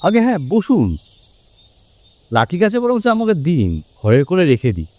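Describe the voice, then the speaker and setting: A self-assured detective, played by a man, speaks in a fairly high, expressive voice. He is probing and deliberate, commanding the scene as in a radio mystery play. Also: no echo. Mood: courteous, probing. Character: Hemanta, shrewd, self-assured male detective. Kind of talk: Bengali audio drama acting.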